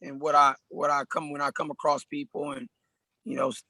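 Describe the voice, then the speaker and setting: A relaxed man in his thirties with a gravelly edge and a slightly high pitch, chatting as a podcast guest. He talks loosely, restarts his sentences and drops in "man" as he goes. The sound is dry and close. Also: brisk, no echo. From California, US. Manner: laid-back, frequent "man" and restarts. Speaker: podcast guest, personal trainer. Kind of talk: casual conversational interview.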